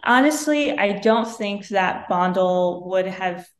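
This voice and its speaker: A woman's voice: mid-range voice, slow. Woman, 30s, Vietnamese native speaker, easygoing interview guest with lots of "like" fillers, on a video call. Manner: relaxed, leans on "like" and "you know". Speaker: guest, chef and wine entrepreneur. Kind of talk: casual interview chat. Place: bright room at home.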